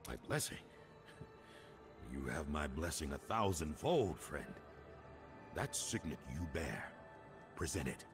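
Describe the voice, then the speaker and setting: A noble old chieftain's spirit in a fantasy game. He speaks in a low, gravelly voice, slow and stately, with music behind him. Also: sing-song, exaggerated voice. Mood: solemn, proud. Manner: solemn, stately oratory. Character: proud old warrior chieftain's ghost, noble and solemn.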